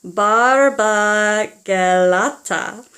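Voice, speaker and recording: A woman reading a children's storybook aloud in a theatrical, expressive way, as if speaking directly to young listeners. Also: medium pitch, drawn-out words. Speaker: children's audiobook reader.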